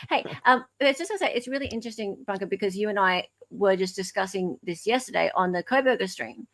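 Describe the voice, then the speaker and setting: Woman with a creaky, conversational voice. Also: medium pitch, expressive, quick pace. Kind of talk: casual panel discussion.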